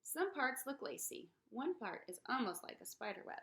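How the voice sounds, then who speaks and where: A woman reads a picture book aloud with a high, lively voice that swings widely in pitch. Her delivery is expressive and dramatic, pitched at young children, with a bright, clear edge. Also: normal speed. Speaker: read-aloud storyteller.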